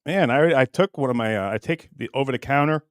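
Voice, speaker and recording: A middle-aged man hosting a livestream with casual, rambling chat, blunt and crude in his joking, going between muttering and loud bursts. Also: medium pitch, racing, dry recording, studio quality. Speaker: livestream show host.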